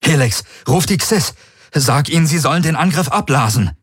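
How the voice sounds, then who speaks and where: Man playing a hot-headed space pilot, urgent, exclaiming, pitched up, dry close sound, science fiction audiobook. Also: lively intonation, normal speed, fairly bright tone, breathy edge, dry recording. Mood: urgent, commanding. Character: desperate, hot-headed male starship pilot, urgent and loyal.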